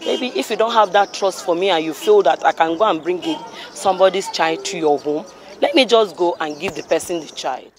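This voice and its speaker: A woman's voice: fairly low pitch, brisk, over music. A middle-aged Nigerian woman answering a street interview, telling a story earnestly with stops and restarts into a handheld mic. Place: busy city street outdoors. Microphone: handheld mic held by interviewer. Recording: street traffic noise.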